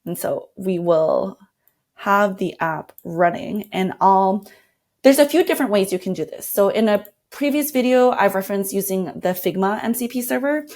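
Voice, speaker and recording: A woman's voice: mid-range voice, moderate pace, a little mellow. Woman casually walking through a demo. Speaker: guest product designer demonstrating a workflow. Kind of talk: casual screen-share walkthrough.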